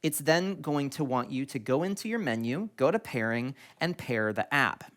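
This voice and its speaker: A man's voice: mid-range voice, expressive, normal speed, fairly bright tone. A man hosting an instructional product tutorial, explaining things step by step. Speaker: tutorial video host.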